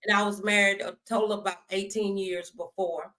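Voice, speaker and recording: A woman's voice: mid-range voice, fairly quick. A middle-aged woman chats candidly and animatedly as the host of a faith-based talk show. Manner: animated, candid, acts out quoted remarks.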